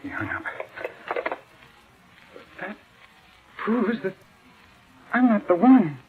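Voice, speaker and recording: An actor playing an anxious, haunted man in an old-time radio mystery, overwrought and halting in delivery. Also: sits high, sing-song, breathy edge, heavily acted. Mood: agitated, desperate. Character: anxious, haunted man driven frantic by suspicion. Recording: tinny vintage radio recording.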